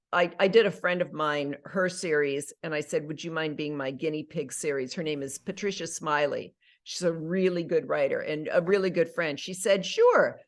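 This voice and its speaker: A middle-aged woman, an actress and audiobook narrator, chatting casually in an interview, animated and full of fillers and self-corrections. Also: low-pitched.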